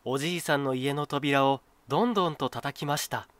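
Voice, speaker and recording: A man reading a children's folktale as a storyteller, in an expressive, clearly phrased scripted style. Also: mid-range voice, a little fast, dry recording.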